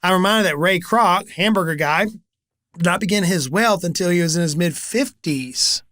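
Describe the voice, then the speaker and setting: High-pitched guy in his 40s, lively storyteller, dry close sound. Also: normal speed, no echo. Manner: energetic storyteller, acts out quoted conversations. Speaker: business coach and channel host. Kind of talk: animated solo talk to camera.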